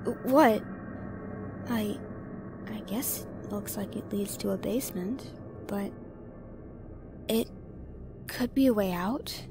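A young woman voicing a frightened friend exploring a haunted asylum, nervous and stammering. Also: medium pitch. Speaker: voice actor. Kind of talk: audio drama acting.

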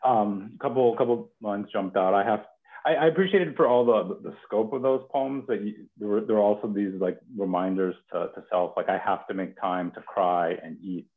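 A man's voice: fairly low pitch, moderate pace. A man in his thirties hosting a poetry reading from home over a headset on a video call. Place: home kitchen. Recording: muffled phone-like video call.

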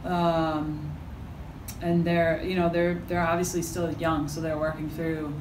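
A middle-aged woman head coach answering postgame questions at a press conference, halting and full of fillers and restarts. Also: low-pitched, level tone. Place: press conference room with backdrop. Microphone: table mic from a few feet. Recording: echoey room, mic at a distance, noisy.

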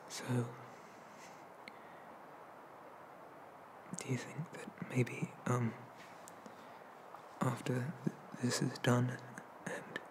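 A man plays a bashful young incubus in an ASMR roleplay. He speaks slowly and softly at a middle pitch, stammering and drifting into whispers, with clear background noise on the recording. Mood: shy, sleepy calm. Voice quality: soft, often slipping into breathy whispers. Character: shy, awkward, gentle young male incubus demon.

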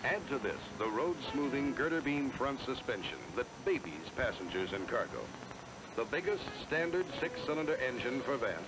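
A man's voice: mid-range voice, rough recording. An American man reading scripted promotional narration in a polished, emphatic salesman style, on a 1970s film soundtrack. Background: music.

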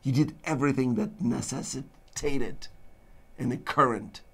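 A man hosting a talk show, declaiming in dramatic lists while his voice is going hoarse. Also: mid-range voice, unhurried, fairly bright tone. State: losing his voice. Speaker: show host. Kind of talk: rambling philosophical monologue. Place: studio with green-screen backdrop.

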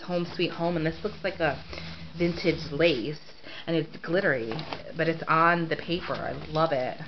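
Woman, congested and sniffly, gushing home crafter, low-ish voice, recorded on a muffled camera mic with a steady hiss. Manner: gushing, repeats "so cute" and "I love it". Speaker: crafting vlogger. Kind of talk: casual product haul show-and-tell. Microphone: handheld camera filming close-up. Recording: dull lo-fi home camera audio with clear hiss.

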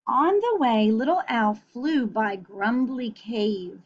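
Slow, high-voiced woman reading to children. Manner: expressive, gentle, addressing young listeners. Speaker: storyteller reading a picture book. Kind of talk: read-aloud story time with chatty asides for children. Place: small room at home. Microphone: computer webcam at arm's length. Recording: dull, muffled webcam recording.

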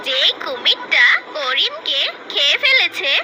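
Woman, dramatic story narration, very high voice, animated intonation, music bed. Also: unhurried.

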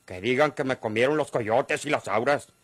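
A middle-aged Mexican countryman played by an actor in an old-style radionovela. He has a medium-pitched, dryly recorded voice and argues earnestly, shrewd and defiant, in rustic speech. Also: normal speed, no echo, close to an everyday voice. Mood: defiant persuading. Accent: rural Mexican. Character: cornered ranch man, shrewd, pleading yet defiant.

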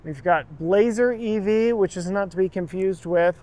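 A man's voice: high-pitched, normal speed, dark tone. A man hosting a car channel, walking through an auto show and chatting enthusiastically about the vehicles while cueing his camera operator. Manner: enthusiastic, chatty, gushes over details. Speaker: car reviewer and channel host.

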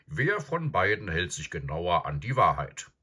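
A grave old professor acted out by a man, low and husky, calm and probing. He ponders each point with absent-minded hums, as in a storybook reading. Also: exaggerated voice. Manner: deliberate, pondering, with hums and murmurs. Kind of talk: audiobook character acting.

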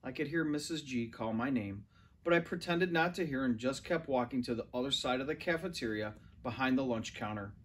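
A man's voice: medium pitch, fairly quick, husky. A man around forty reading a children's novel aloud indoors, recorded on a camera a little way off. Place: large furnished living room, indoors. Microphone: camera or phone a few metres away.

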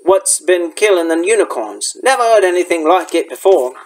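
A man puts on a gruff, protective woodsman for a storybook. He speaks bluntly in folksy, rough grammar, and the pitch runs high for a man. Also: lively intonation, normal speed. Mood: grumbling. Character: gruff, protective, plain-spoken male forest guide. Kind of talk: storybook character voice.

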